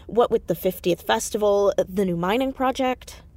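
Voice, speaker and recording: A woman playing an anxious, earnest high school girl in an audio drama, hesitant but insistent as she talks. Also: normal speed, a little mellow, close to an everyday voice. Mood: thoughtful. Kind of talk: naturalistic audio drama acting.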